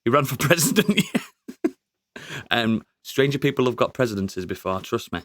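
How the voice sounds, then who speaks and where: Sweary, excitable man. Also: mid-range voice, moderate pace, dry recording. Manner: sweary, excitable, laughs often. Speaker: podcast co-host. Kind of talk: casual review chat.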